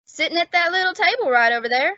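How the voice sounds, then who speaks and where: A woman's voice: very high voice, expressive, fast, sharp tone, no room sound, exaggerated voice. A woman playing a naive, girl-struck young bellboy with a guileless gee-whiz manner in a radio comedy. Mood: eager, smitten. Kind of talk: 1950s radio comedy acting. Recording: dull old-time radio recording.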